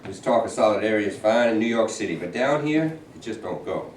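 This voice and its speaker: A man's voice: on the low side, expressive, a little fast, darkish tone, close to an everyday voice. A man reading a pragmatic, plain-spoken Southern union president in a staged play. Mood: firm. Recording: echoey room, distant mic, faint noise.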